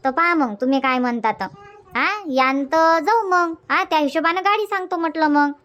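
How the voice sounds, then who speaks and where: A woman's voice: sits high, moderate pace, a bit bright. A woman voicing a bossy, chatty cartoon village auntie with a Varhadi Marathi accent, insistent and repeating herself. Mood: explaining. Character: bossy, sociable village auntie organizing her friends. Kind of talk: cartoon comedy dubbing.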